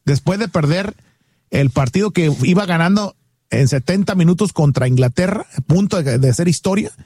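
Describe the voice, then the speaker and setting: The husky, exclaiming Mexican man you'd hear co-hosting a chatty radio show from a dry, close studio. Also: sits high, animated, normal speed, a little mellow, no room sound. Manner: exclamatory, reacting with slangy interjections. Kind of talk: casual comedic radio banter.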